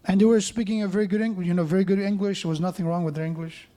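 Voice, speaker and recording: A man's voice: sits high, fairly even intonation, racing, mellow. A man preaching a sermon in a mosque, conversational, with joking asides and frequent restarts.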